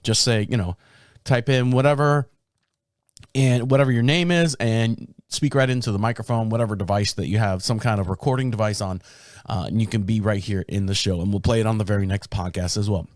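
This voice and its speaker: A man hosting his own podcast in casual talk, a bit raspy from a sore throat, rambling and restarting his sentences. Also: fairly quick, a little mellow, dry recording, studio quality. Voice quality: deep. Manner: rambling, self-interrupting storyteller. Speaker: podcast host. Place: small podcast studio. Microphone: studio microphone.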